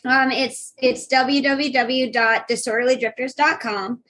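A woman's voice: high voice, fairly even intonation, measured pace, fairly bright tone, slight background noise. A woman in her thirties from North Dakota, a podcast guest chatting casually, with many fillers and frequent laughs. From North Dakota, USA. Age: 30s. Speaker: guest traveler.

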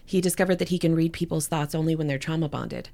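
An actress playing a gentle, earnest survivor in a fiction podcast, speaking in a measured way. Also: female voice, deep voice, vocal fry. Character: gentle, earnest telepathic woman, kind and cautious survivor. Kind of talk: scripted fiction podcast acting.

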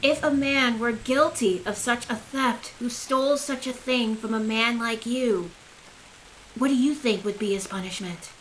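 A ruler's grave aide in an audio play. Her high voice moves slowly and formally, with measured deference. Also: a bit bright, slight background noise, poor audio. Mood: probing. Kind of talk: audio drama acting.

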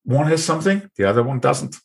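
A man's voice: mid-range voice, animated, fast, creaky, darkish tone, breathy edge. A man with an Austrian accent hosting an interview, musing aloud and repeating phrases for emphasis. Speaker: host. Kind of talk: reflective interview talk.

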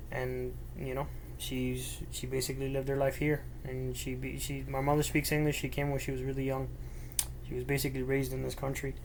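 A man's voice: medium pitch, a little hiss or hum. A young man from Miami whose first language is Spanish, telling a personal story alone in his bedroom in an earnest, rambling way. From Miami, United States. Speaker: podcast host. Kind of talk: solo personal storytelling monologue. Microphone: laptop microphone.

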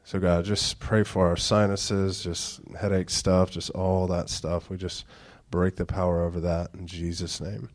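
A pastor in his thirties delivers a casual sermon in a very deep voice. His nose is stuffed up and he speaks in a low, slow, near-monotone way, mixing church announcements with dad jokes. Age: 30s. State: stuffed-up nasal congestion.

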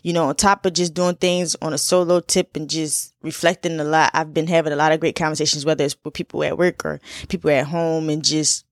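Twenty-something woman from philly chatting on her podcast, lowish voice, relaxed, lots of "you know"s, dry sound. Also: a little fast, few pauses, no room sound. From Philadelphia. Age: 20s. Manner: laid-back and chatty, full of fillers and repeats. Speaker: podcast host. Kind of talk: casual solo podcast chat.

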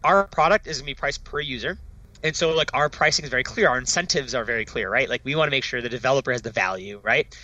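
A man's voice: medium pitch, sharp tone, muffled recording. A man, a startup founder, talking eagerly in a casual interview, often tagging "right?" onto his sentences. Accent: non-Dutch foreign accent. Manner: enthusiastic, fast, peppered with "right?" and "like".

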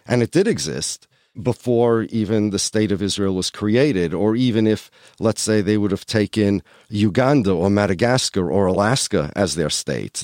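A man's voice: on the low side, darkish tone, no room sound, clean studio sound. A man hosting a podcast interview, asking probing questions in eager, stammering bursts. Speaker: podcast host.

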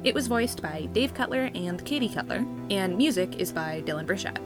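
A woman's voice: mid-range voice, animated, normal speed. A woman delivering a scripted podcast outro as the show's producer. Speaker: podcast producer reading closing credits. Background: music.

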